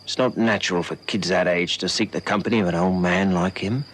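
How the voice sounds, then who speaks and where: A man's voice: deep voice, fairly even intonation, brisk, slight background noise, lightly acted. A South African man playing a suspicious, self-respecting neighbour in a radio drama, blunt and grumbling. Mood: grudging, suspicious. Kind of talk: old radio drama acting.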